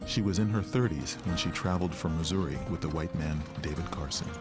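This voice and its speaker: Male narrator, low-pitched, scripted history documentary read over clear guitar music. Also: brisk. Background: plucked guitar music.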